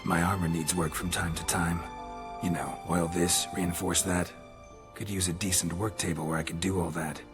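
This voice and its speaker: Fantasy game monster hunter, male actor: low register, terse, practical, gruff understatement over music. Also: flat delivery, moderate pace, natural voice. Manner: terse, clipped, understated phrasing. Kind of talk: video game cutscene acting. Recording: music clearly behind the voice.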